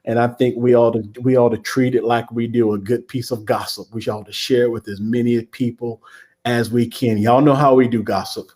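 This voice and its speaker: Folksy pastor hosting a livestream. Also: male voice, mid-range voice, flat delivery, normal speed, a little mellow. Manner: folksy, repetitive, uses church-talk and country phrases. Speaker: pastor and host. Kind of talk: casual livestream talk show intro.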